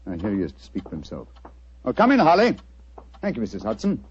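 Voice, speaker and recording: A dry witty english detective, clipped posh diction, lively swooping delivery, old muffled radio sound. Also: male voice, fairly low pitch, quick pace, slight background noise. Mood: dry, urbane. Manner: precise, urbane, theatrical diction. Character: brilliant, restless English gentleman detective, incisive and dry. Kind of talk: 1940s radio acting. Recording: dull, dry 1940s radio recording.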